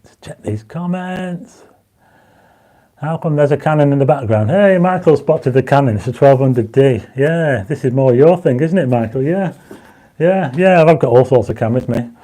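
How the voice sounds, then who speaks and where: Casting: man, croaky dry-mouthed livestream host, mellow tone, chats with viewers. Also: mid-range voice, animated, normal speed. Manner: reads viewer comments aloud, urges likes; enthusiastic when explaining stars. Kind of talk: casual livestream chat. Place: home astronomy studio.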